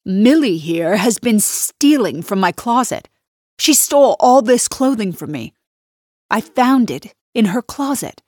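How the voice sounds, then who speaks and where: A woman voicing a wealthy, haughty woman who sneers and accuses loudly. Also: mid-range voice, unhurried, husky, no room sound, clean studio sound. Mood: sneering. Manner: sneering, loud and triumphant.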